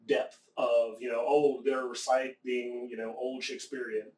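A man from the American South talking in casual conversation, rambling and profane, often getting animated. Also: mid-range voice, measured pace, slight background noise. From American South, Appalachia. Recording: echoey room, distant mic.

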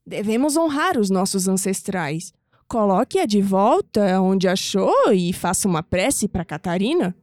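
An actress playing a calm, reverent old grandmother in an audio drama, with a Brazilian accent and a measured, solemn way of speaking. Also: medium pitch, dry recording, studio quality. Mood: solemn, gentle.

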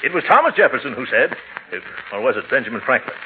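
A man's voice: mid-range voice, very animated, quick pace, lightly acted. An actor playing a pompous, blustering middle-aged uncle in a 1940s radio comedy, hemming and hesitating as he goes. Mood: pompous, flustered. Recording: muffled, noisy old radio broadcast.

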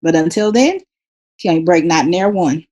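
A woman's voice: on the low side, lively intonation, moderate pace, darkish tone, dull audio. A mother in a casual podcast chat, talking in a direct, firm way. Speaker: parent.

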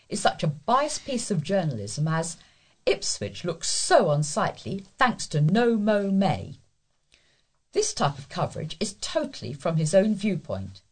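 A woman's voice: fairly low pitch, sing-song, a little slow, darkish tone, slightly rough. A woman reading newspaper letters aloud as a volunteer news reader.

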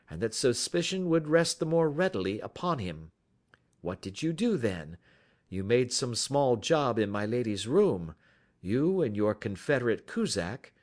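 Guy doing a clever smug gentleman detective for a story, wry and precise, pitch swings about a lot. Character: shrewd, self-assured male gentleman detective, gently mocking.

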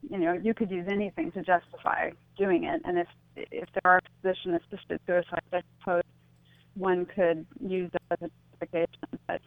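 A woman giving reflective, tentative interview answers as a guest, explaining things through anecdotes and analogies. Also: on the low side. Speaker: interview guest, author and speaker on suicide loss. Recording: tinny phone line, echoey.

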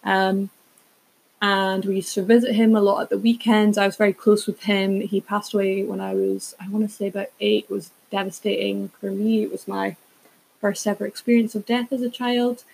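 Young woman, raspy and creaky, casual podcast chat, rambling, full of self-aware asides. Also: mid-range voice, flat delivery, moderate pace, darkish tone, poor audio. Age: 20s. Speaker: podcast host. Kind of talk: casual chatty solo podcast.

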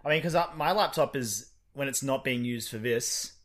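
A man's voice: medium pitch, moderate pace, a bit bright. A man co-hosting a podcast in casual chat, with dry, teasing remarks. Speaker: podcast co-host.

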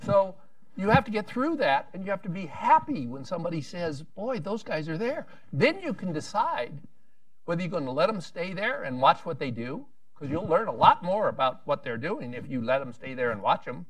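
A man's voice: fairly high pitch, sing-song, normal speed, a bit bright, no echo, recorded from a distance, poor audio. A man speaking on a policy panel, rambling with frequent restarts and wry asides.

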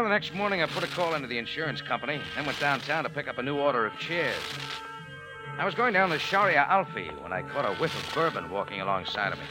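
Man playing a lively hardboiled American bar owner. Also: mid-range voice, natural voice, poor audio. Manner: hardboiled, wisecracking, slangy. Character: tough, wry American bar owner narrating his adventure. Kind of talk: 1940s radio noir acting and narration.

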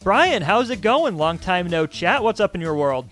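A man hosting a sports radio show from a studio, introducing and questioning a guest in a smooth, structured delivery. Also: high voice, sing-song, moderate pace, fairly bright tone, faint music behind.

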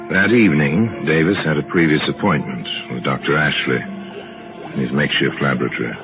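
A man narrating an old-time radio mystery as host, measured and storytelling in his delivery. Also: extremely low pitch, over music. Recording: tinny old radio broadcast.

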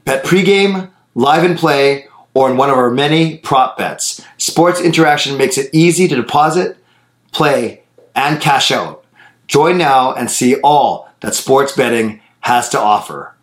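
Brisk male ad announcer, roomy sound. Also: medium pitch, expressive. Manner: brisk, salesy delivery. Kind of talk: scripted ad read.

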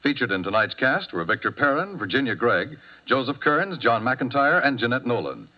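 A man's voice: medium pitch, big pitch swings, normal speed. An American man announcing scripted credits for a 1950s radio show in a formal, declamatory manner. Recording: dull vintage 1950s radio recording.

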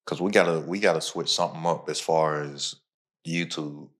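Deep-voiced man hosting a podcast. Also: moderate pace. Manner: energetic, informal, pitches his own program. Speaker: podcast host. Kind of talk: casual motivational podcast talk.

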